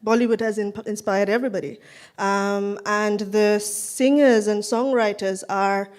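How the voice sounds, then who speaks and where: A woman's voice: mid-range voice, steady tone, measured pace, a little mellow. A woman from Nepal, a singer chatting between songs at a cultural event, self-deprecating and apologetic, into a stage microphone. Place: event hall, indoors. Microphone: handheld stage microphone.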